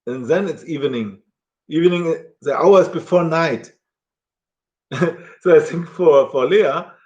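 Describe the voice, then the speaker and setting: A middle-aged German man talking casually to camera in halting, self-correcting English with a German accent. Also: on the high side.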